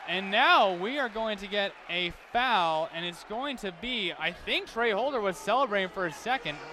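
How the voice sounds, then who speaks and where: Male basketball play-by-play announcer, American, high voice, detailed running call over clearly noisy arena sound. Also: expressive, normal speed. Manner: detailed, running description of the action. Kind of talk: live basketball play-by-play commentary. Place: courtside in a large basketball arena. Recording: loud crowd and arena noise behind.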